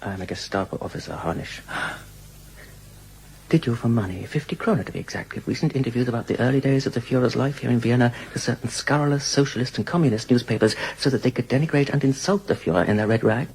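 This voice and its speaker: An actor playing an officious, menacing Gestapo officer, clipped and accusing, in a radio drama. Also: male voice, mid-range voice, fast talker, vocal fry, darkish tone, close to an everyday voice, low-quality recording. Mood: cold, accusing.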